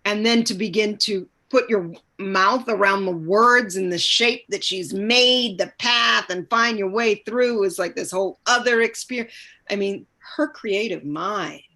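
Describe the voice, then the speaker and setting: A woman's voice: medium pitch, a little slow, bright, forceful tone. A woman speaking as a guest in an informal panel chat, reflective, effusive and emphatic as she searches for words. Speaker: stage actor, panel guest.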